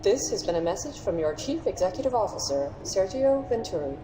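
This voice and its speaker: An actress playing a polished company announcer reading a scripted public-address message in a video game. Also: female voice, mid-range voice, big pitch swings, a little fast, natural voice. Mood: courteous, formal. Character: polished female company announcer on a space station. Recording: echoing announcement with background noise.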